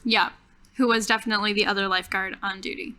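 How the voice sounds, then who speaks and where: A woman's voice: medium pitch, steady tone, quick pace, pushed and bright, a little hiss or hum. A woman casually narrating her character's actions as a player in a tabletop roleplaying game.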